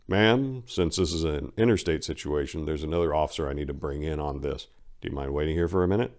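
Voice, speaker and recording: A man voicing a huge, calm police officer in a crime story, deep and masculine, steady and polite. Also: quick pace. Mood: reassuring.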